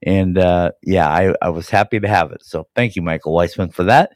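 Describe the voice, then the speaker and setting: A man with a somewhat low voice, raspy and hoarse from a cold, hosts a casual hockey podcast. He rambles enthusiastically, full of fillers and restarts. The sound is close and dry. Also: brisk, a little mellow, no room sound. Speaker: podcast host. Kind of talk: casual sports chat.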